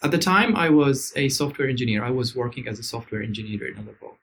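A podcast guest from Syria with a mid-pitched voice, recorded in a hotel room. He tells his story slowly and reflectively, often pausing with fillers as he searches for words. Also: expressive, creaky, a bit bright, slight background noise. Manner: hesitant, reflective, with frequent fillers. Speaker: interview guest. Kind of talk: conversational storytelling.

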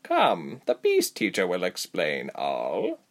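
A man voicing a blunt, protective female beast warrior in a storybook, speaking slowly and deliberately. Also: low voice, wildly swooping pitch, exaggerated voice. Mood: guarded. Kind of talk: audiobook character voice.

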